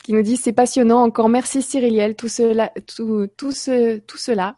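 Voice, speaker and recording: A woman's voice: on the high side, steady tone, moderate pace, warm tone, no room sound, clean studio sound. A woman casually hosting a live web show and relaying messages from viewers.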